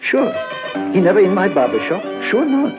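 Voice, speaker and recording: Animated foreign barber, emphatic broken English, high-pitched, dull old radio sound. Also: male voice, normal speed. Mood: insistent. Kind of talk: 1950s radio character acting. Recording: dull old radio recording, loud zither music.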